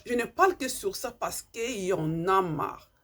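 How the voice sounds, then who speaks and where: Husky-voiced woman. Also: mid-range voice, animated, unhurried, fairly bright tone. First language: Lingala. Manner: earnest, repeats herself, hunts for words. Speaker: vlogger. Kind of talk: impassioned monologue to subscribers.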